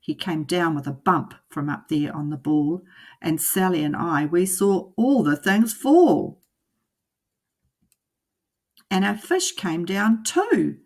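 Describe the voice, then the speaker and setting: A woman narrates a children's storybook like a teacher reading to her class. Her voice is on the low side and husky, and she reads slowly with animated rises and falls.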